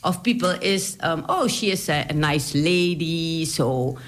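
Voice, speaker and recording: A woman's voice: low voice, animated, measured pace. Woman, Surinamese accent, interview guest, emphatic, laughs easily. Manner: chatty, laughs often, emphatic. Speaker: politician guest. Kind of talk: interview storytelling.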